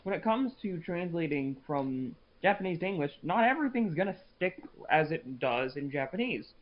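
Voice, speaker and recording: A man's voice: a little high, animated, moderate pace, dull audio, slight background noise. A man talking casually as a podcast co-host.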